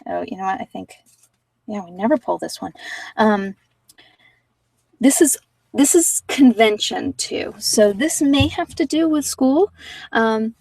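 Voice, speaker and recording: A woman's voice: fairly high pitch, creaky, darkish tone, dry recording, distant mic, poor audio. A woman giving casual live tarot readings to viewers, talking informally and thinking aloud as she goes. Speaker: tarot reader and channel host.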